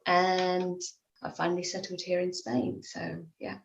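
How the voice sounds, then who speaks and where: A middle-aged woman from England speaks in a fairly low voice, thoughtful and conversational, often circling back mid-sentence. The line is slightly dull, and her voice sits in a roomy, echoey space. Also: monotone, measured pace. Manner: reflective, with frequent restarts and soft laughs. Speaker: author and life coach guest. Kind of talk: conversational interview answers. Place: at home in Spain, remote call.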